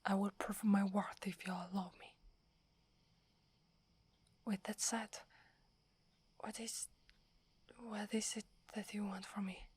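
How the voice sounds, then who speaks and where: Woman doing obedient earnest werewolf girl asmr, italian accent, super breathy whispering, pretty even tone, respectful n hesitant. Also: mid-range voice, slow, pauses often. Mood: dutiful, earnest. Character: submissive, brave young female werewolf, earnest and deferential.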